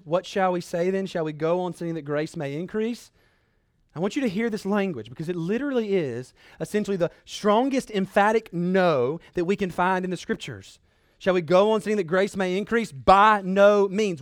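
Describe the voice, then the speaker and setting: A man delivers a sermon in a fairly high-pitched voice, recorded dry with little room sound. He speaks as an earnest preacher urging his listeners on. His phrasing is emphatic, with dramatic pauses and deliberate repetition. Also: no room sound. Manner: earnest, exhortatory.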